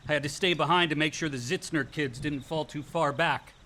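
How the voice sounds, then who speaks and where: A man's voice: fairly high pitch, brisk, bright, forceful tone, slight background noise. A male actor playing a moody, self-deprecating young grad student son with wry delivery in a radio play. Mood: wry, nostalgic. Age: young adult. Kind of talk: naturalistic radio drama dialogue.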